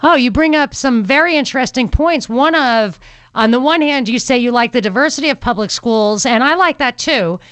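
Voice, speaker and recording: A woman's voice: sits high, normal speed, fairly bright tone, no echo. A woman hosting a talk radio show, giving her views in a conversational, opinionated way with fillers as she thinks aloud. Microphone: studio microphone.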